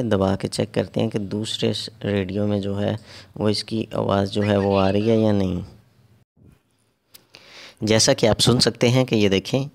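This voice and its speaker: A man narrating a hands-on repair tutorial step by step, halting and repeating himself as he works. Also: lowish voice, creaky. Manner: halting and repetitive, pausing while working. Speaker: repair technician giving a tutorial.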